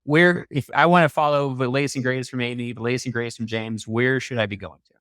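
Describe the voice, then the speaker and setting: A man's voice: medium pitch, steady tone, fast, a bit bright, clear, resonant tone, dry recording. Male interview host, mid-range voice, upbeat and encouraging, recorded dry. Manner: effusive, encouraging. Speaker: podcast host.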